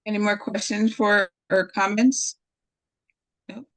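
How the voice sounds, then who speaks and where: A woman chairing a city committee meeting by remote call, running votes briskly. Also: medium pitch, steady tone, fairly bright tone, no echo, rough recording. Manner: brisk procedural.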